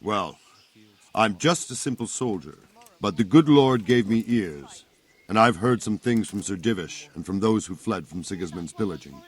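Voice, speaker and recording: A man's voice: on the low side, lively intonation, moderate pace, slightly rough. An actor playing a seasoned, plain-spoken soldier captain in a video game, patiently explaining things to a younger man. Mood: world-weary, explaining. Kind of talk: video game cutscene acting.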